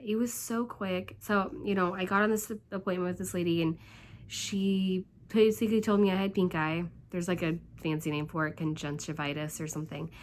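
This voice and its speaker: A woman vlogger with a hoarse voice from laryngitis and a stuffed-up nose, chatting through a rambling story full of fillers. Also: fairly low pitch. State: congested, stuffed up. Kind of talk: casual storytelling vlog.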